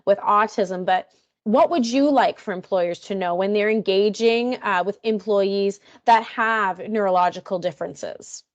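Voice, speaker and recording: A woman's voice: mid-range voice, a little slow, highs cut off. A woman moderating a panel discussion, affirming and restating speakers' points in a supportive way.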